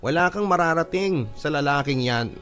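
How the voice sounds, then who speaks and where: A man's voice: fairly high pitch, animated, moderate pace, fairly bright tone, faint music behind. A man voicing a scornful, money-minded middle-aged mother with a Filipino accent, scolding in a radio drama.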